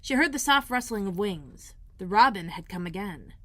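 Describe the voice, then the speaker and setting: A woman librarian reading a children's book aloud in a gentle, clear storytelling manner. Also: medium pitch, expressive, normal speed, dry recording. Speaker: school librarian.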